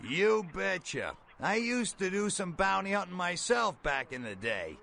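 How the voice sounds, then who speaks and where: A high, swooping male voice gives us a brash middle-aged bail bondsman from a video game. He talks in blunt, folksy slang with a gravelly edge, over faint background noise. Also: very animated, a little slow, bright, forceful tone, exaggerated voice. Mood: frank. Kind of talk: video game character dialogue.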